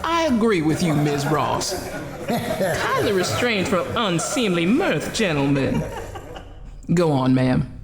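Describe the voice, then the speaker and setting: An actress playing a stern, dry-witted coroner who runs an inquest in a formal, measured radio drama. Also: female voice, lowish voice, sing-song, a loud crowd, exaggerated voice. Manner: formal, measured, dryly sardonic.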